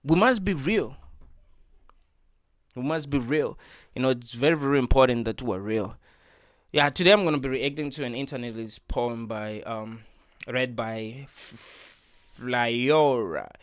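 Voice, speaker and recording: A man lays out his views in an earnest, preachy monologue, repeating himself and leaning on "you know". His voice sits at an ordinary middle pitch. It is recorded close and dry, with no room sound. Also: measured pace, no echo. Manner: earnest, preachy, repeats points with filler words. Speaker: reaction video host. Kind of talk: casual opinion monologue.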